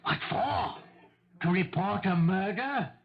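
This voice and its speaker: An actor playing a cagey, fearful older male neighbor in a 1970s radio mystery, evasive and halting, often repeating himself. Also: high voice, expressive, vocal fry, husky, full character voice. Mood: nervous recounting. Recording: dull old radio broadcast recording.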